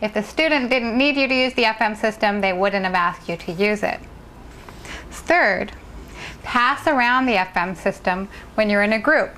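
A woman assistant professor giving a clear, measured instructional demonstration, speaking into a worn lapel microphone. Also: mid-range voice, sharp tone, a little hiss or hum, poor audio.

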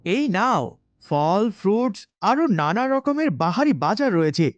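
A man's voice: sits high, big pitch swings, moderate pace, dry recording. A man playing a rich, bossy, showy household master in a cartoon, in a muffled recording. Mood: bossy, boastful. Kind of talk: cartoon moral-story voice acting.

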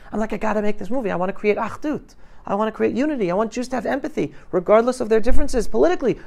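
A man's voice: very high voice, creaky. A middle-aged man giving an emphatic, colloquial storytelling talk to students, acting out conversations as he goes. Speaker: guest speaker, filmmaker telling his story. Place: school classroom, indoors. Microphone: clip-on lapel mic.

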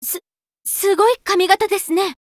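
A woman voicing a devout young female warrior in a fighting game, polite and earnest, with sharp battle cries. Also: extremely high pitch, fairly even intonation, a little slow, no echo, studio quality. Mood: pleading. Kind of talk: game voice lines, battle shouts.

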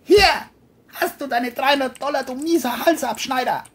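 High squeaky voice, irritable young grandson, whiny, slangy, audio drama. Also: moderate pace, fairly bright tone, slight background noise. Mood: indignant, angry. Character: young man, cash-strapped grandson, irritable and foul-mouthed.

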